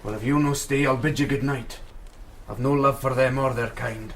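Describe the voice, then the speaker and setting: An actor playing a dour, stoic, newly widowed Scottish countryman in a radio drama, gruff and plain-spoken. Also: mid-range voice, fairly even intonation, moderate pace, background noise, close to an everyday voice. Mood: dour, subdued.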